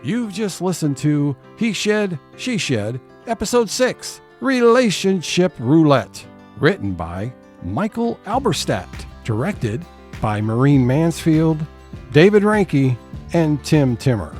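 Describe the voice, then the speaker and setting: A man's voice: a little high, very animated, slow, darkish tone, soft background music. A man hosting an audio theatre show, reading scripted announcements and credits. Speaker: host and announcer.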